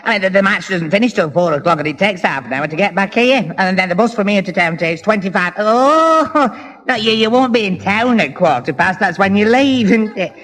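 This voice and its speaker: High-voiced giggly dimwit. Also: male voice, lively intonation. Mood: muddled, amused. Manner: slow-witted, rambling, silly giggling. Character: dim, giggly, muddled young man. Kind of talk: 1960s radio sitcom acting. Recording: old radio recording, loud studio audience.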